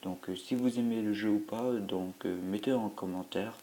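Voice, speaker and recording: A man's voice: on the low side, steady tone, moderate pace, warm tone. Rambling man with a cold. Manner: rambling, hesitant, full of filler sounds. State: has a cold, stuffy; tired from a sleepless night. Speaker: YouTuber playing a mobile game. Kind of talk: gameplay commentary.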